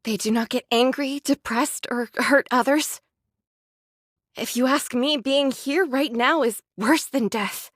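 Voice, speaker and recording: High-voiced, gloomy devout android girl. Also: measured pace, dry recording, studio quality. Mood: morose. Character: gloomy, devout young female android, withdrawn and touchy. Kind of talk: dramatic game voice acting.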